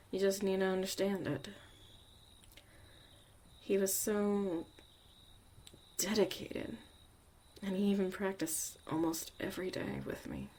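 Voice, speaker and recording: A woman playing a young witch mother, a tough, protective and caring survivor, in an intimate, halting, confiding ASMR roleplay monologue. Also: medium pitch, lively intonation, a little mellow, slight background noise. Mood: reflective, fond.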